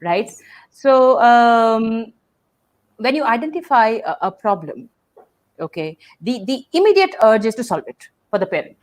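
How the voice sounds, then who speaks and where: A woman's voice: a little high, animated, a little slow. A woman who hosts a webinar on drama and talks hesitantly, stopping to search for words as she asks long questions. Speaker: host and drama educator. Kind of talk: webinar conversation.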